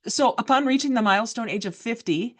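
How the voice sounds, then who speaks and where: A woman's voice: fairly high pitch, animated, brisk. A woman in her sixties hosting a podcast interview, upbeat and welcoming. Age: 60s. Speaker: podcast host.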